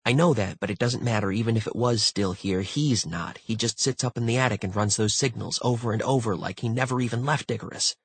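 A man's voice: mid-range voice, fairly quick, few pauses, husky, no echo, voice pushed up. A man voicing a weary, irritable male spaceship crew member in an audiobook, exasperated and tense. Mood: frustrated, weary.

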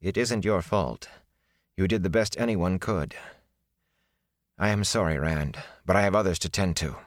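A man puts on a tired village healer woman, her voice raised well above his own and kept firm and emotionless. She is in control even while exhausted, in a dry, close storybook reading. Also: medium pitch, moderate pace, no room sound, voice pushed up, natural voice. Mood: gentle.

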